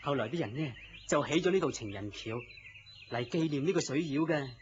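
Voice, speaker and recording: An actor playing a gentle, melancholy man in love, speaking earnestly and theatrically in Cantonese radio drama style. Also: a little high, lively intonation, fairly quick, lightly acted. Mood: storytelling. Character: lovestruck, gentle, self-deprecating melancholy man. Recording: dull lo-fi audio with noise.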